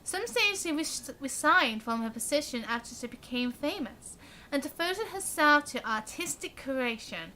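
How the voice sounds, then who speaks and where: A woman's voice: sits high, pushed and bright. A woman live streaming a game, reading its dialogue aloud and adding short casual reactions.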